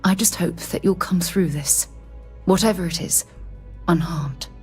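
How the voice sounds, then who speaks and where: Earnest lowish-voiced woman scientist, played by an actress. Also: a little slow, a little mellow, soft background music, natural voice. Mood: reflective. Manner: articulate, formal, slightly flustered. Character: earnest, excitable female space-explorer scientist, quietly affectionate. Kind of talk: video game voice acting.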